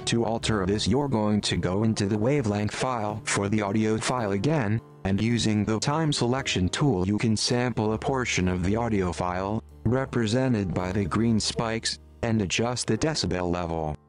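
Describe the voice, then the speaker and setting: A man reading scripted instructional narration for a tutorial in a measured, step-by-step way. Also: on the low side. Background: background music.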